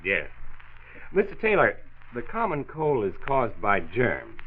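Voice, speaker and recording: An American county doctor in a 1940s radio drama. He explains patiently and with authority, his mid-pitched voice rising and falling expressively to stress key points. The old recording is thin and faintly noisy. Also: huge pitch swings, phone-quality sound. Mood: patient, explaining. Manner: measured, instructive, emphatic on key points.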